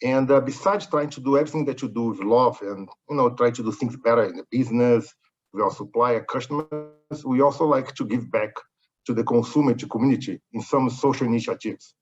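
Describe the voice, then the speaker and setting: Male guest, middle-aged, Brazilian Portuguese accent, mid pitch, lo-fi call audio. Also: a little fast, soft background music. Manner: friendly, slightly broken English. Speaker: company executive, guest. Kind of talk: interview answers. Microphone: video call.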